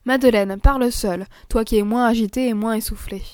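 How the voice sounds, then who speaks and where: A woman voicing a composed mother in a storybook, calm and gently reproving. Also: fairly high pitch, fairly quick, no echo, clean studio sound, lightly acted. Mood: gentle.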